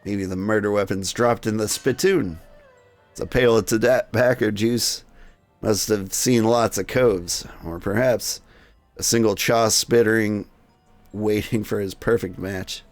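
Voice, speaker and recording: A man's voice: fairly low pitch, fairly even intonation, measured pace, soft background music. A man reading aloud and commenting as he plays a story game, in a theatrical storytelling manner. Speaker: game reader playing all the characters. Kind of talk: narrated game playthrough with character voices.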